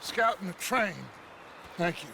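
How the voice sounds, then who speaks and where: A commanding American outlaw boss in a Western game, weary yet fatherly. His voice sits high for a man and moves slowly, with theatrical emphasis over clear background noise. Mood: tired, measured. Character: weary commanding outlaw gang leader, paternal, grandiose. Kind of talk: dramatic Western video game acting.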